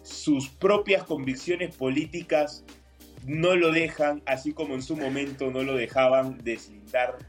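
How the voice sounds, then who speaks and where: A man from Peru giving measured political analysis as an interview guest, with many hesitations. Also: mid-range voice, steady tone, faint music behind. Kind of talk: interview on air.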